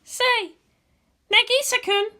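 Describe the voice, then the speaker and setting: A woman's voice: extremely high pitch, fairly even intonation, slow, pushed and bright, voice pushed up, heavily acted. A woman voicing a proud, brash but vulnerable teenage girl in a fan fiction reading. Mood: shyly eager.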